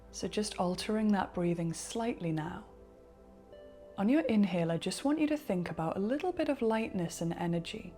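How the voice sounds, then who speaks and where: A woman guiding a breathing meditation in a soft, unhurried voice that sometimes slips into a whisper. Her tone is mellow and fairly low. Gentle music plays faintly underneath. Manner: slow, soft-spoken, partly whispered. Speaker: meditation guide. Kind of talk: guided relaxation.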